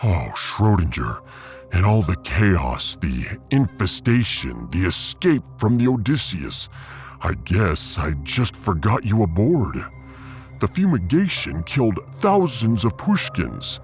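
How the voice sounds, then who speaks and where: A man's voice: lowish voice, very animated, takes it slowly, faint music behind, pitched below the performer's own voice, heavily acted. A man playing an earnest, melodramatic starship lieutenant who dotes on a pet cat, in a sci-fi audio drama. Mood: tearful relief. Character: emotional, doting cat-owning starship lieutenant.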